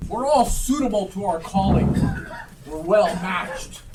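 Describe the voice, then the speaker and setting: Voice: medium pitch, animated, measured pace, close to an everyday voice. A performer playing a sly, wheedling old rag dealer of nearly seventy in an amateur Dickens stage play. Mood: hospitable, sly. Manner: wheedling, mock-hospitable, haggling. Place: theatre stage, live performance. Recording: live stage recording, distant and noisy.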